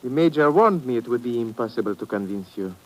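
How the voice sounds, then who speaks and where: An actor playing a wary, grave village shopkeeper and guide with a Central European accent and formal, deliberate phrasing, in old radio drama style. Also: male voice, medium pitch, expressive, lo-fi sound, natural voice. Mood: grave, cautioning. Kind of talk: 1950s radio adventure acting. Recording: noisy vintage radio recording.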